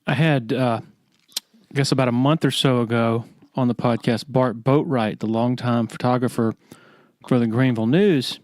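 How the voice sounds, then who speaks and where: A man hosting a podcast, chatting casually with halting, conversational phrasing. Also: mid-range voice, fairly even intonation, a little mellow, no room sound, studio quality. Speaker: podcast host, sportswriter. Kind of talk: casual interview chat.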